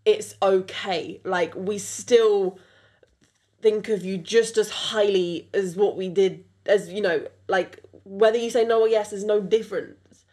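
A woman's voice: medium pitch, steady tone, measured pace, mellow. A young woman with a British accent, French her first language, hosting a podcast in a rambling casual chat full of fillers. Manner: rambling, many fillers and restarts. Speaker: podcast host.

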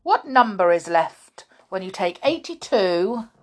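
A woman's voice: medium pitch, big pitch swings, unhurried, pitched above the performer's own voice. A woman voicing a stern, menacing old male schoolmaster in a storybook, thundering and growling his threats.

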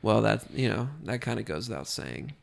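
A man's voice: lowish voice, very animated, moderate pace, creaky. A young man co-hosting a casual podcast, rambling and joking while congested from being sick. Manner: rambling, jokey, self-deprecating. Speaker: podcast co-host. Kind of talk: casual chat.

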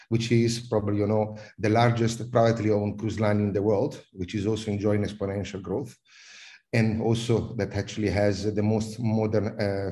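A middle-aged Italian man speaks in a low voice with a mellow, warm tone, his accent plain in every phrase. He talks as an interview guest, often pausing on "uh" and repeating a word before going on. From Italy. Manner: hesitant, repeats words and fills with uh. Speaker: guest, cruise industry advisor. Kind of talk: podcast interview.

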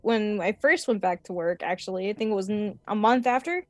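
A woman's voice: mid-range voice, animated, brisk, a little mellow, no room sound. A young woman chatting casually as a podcast guest, rambling with lots of "like" and "um" and laughing often. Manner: chatty, many fillers and laughs. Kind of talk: casual chat.

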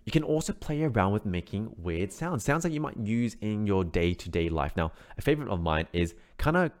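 A man's voice: fairly low pitch, big pitch swings, fairly quick. A man teaching an online singing lesson, explaining steps in an encouraging way and often checking in with "right?". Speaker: vocal coach.

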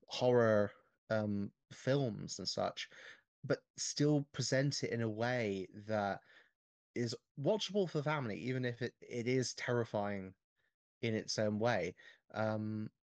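A man chatting casually on a podcast, with hesitant, halting phrasing. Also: medium pitch, dry recording. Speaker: podcast speaker.

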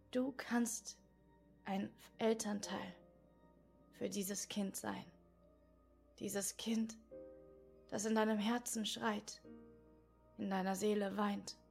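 A woman guiding an inner-child meditation in a slow, soft, partly whispered voice. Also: medium pitch, creaky. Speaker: meditation guide.

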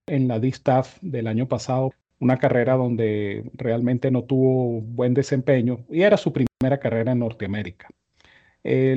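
A man giving horse racing analysis as co-host, measured and explanatory with formal phrasing, on slightly dull audio. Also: medium pitch, dark tone. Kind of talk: racing analysis and tipping commentary.

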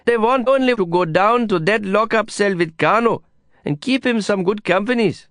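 A man doing a tender young guide, high and earnest. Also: moderate pace, a bit bright, no room sound. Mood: earnest pleading. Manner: earnest, plaintive, broken English phrasing.

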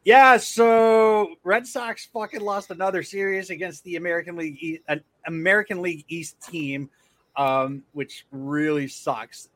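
A man's voice: high voice, fairly even intonation, a little slow, fairly bright tone. A man hosting a casual sports podcast, talking loosely, swearing often and restarting his sentences. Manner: loose and profane, with frequent restarts. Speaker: podcast host.

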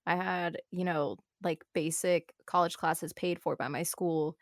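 A woman's voice: lowish voice, fairly even intonation, no echo, studio quality. Young woman chatting earnestly, lots of likes. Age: young adult. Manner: fast, filler-heavy, earnest talk. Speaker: guest streamer. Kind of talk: casual chat.

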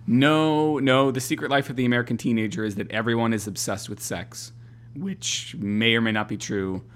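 A man's voice: medium pitch, fairly even intonation, moderate pace. A man in his thirties co-hosting a podcast in casual chat, rambling and restarting his sentences as he goes. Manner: rambling, hesitant, with frequent restarts. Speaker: podcast co-host.

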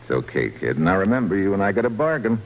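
A man playing a big-hearted baseball slugger, gruff but kind, in old-time radio drama style with folksy, plain speech. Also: lowish voice, very animated, fast talker, low-quality recording. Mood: kindly, encouraging.

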